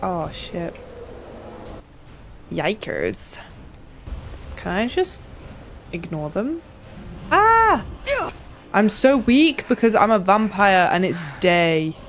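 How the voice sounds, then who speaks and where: A woman's voice: mid-range voice, very animated, very slow, long pauses, a little hiss or hum. A young woman giving casual, playful, chatty commentary while she plays a video game. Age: young adult. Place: small indoor room at home.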